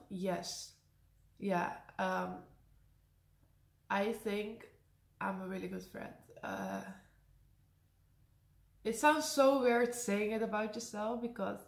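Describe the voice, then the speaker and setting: A young Dutch woman with a high voice and a Dutch accent, chatting casually through a Q&A, laughing often and slipping in sarcastic asides. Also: creaky. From Netherlands. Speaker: vlogger. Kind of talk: casual Q&A to camera. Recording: echoey room.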